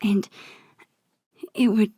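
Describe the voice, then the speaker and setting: A woman playing a soft-spoken, introspective teenage girl in a video game, wistful and hesitant, with a whispery voice. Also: extremely slow, no room sound, studio quality. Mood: dreamy, eager. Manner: hesitant, gentle, musing to herself. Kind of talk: naturalistic video game dialogue and inner monologue.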